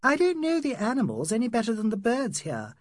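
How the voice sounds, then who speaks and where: A man voicing a brisk, assertive young Englishwoman in an audiobook. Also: very high voice, wildly swooping pitch, dry recording, clean studio sound, voice pushed up, full character voice. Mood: sure, excited. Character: young Englishwoman, spirited, matter-of-fact and certain.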